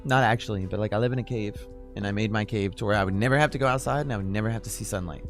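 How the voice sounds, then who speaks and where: A man's voice: fairly low pitch, rapid-fire, faint music behind. Casual, foul-mouthed young man hosting. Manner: loose and profane, lots of "like" fillers. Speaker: talk show host. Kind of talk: casual unscripted chat. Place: home room, self-described cave.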